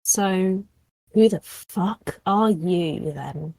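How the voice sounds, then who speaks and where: A woman's voice: on the low side, drawn-out words, a little mellow, no echo. A woman playing a tabletop roleplaying game, improvising casually in a wry, blunt manner.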